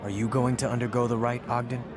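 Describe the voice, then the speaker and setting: A man's voice: mid-range voice, level tone, fast, noisy background, natural voice. A man playing a resolute human hero in a fantasy game, earnest and decisive. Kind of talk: dramatic fantasy game acting. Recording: music behind the voice.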